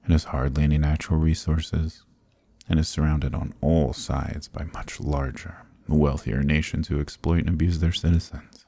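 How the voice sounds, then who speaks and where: Casting: male actor for ancient dominant archdemon, dark fantasy ASMR. Bottomless bass with husky rasp, slow, smug, commanding delivery. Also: little pitch movement, no room sound, full character voice. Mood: smug, weary. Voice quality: very deep, gravelly, husky, breathy. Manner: slow, deliberate, condescending, commanding.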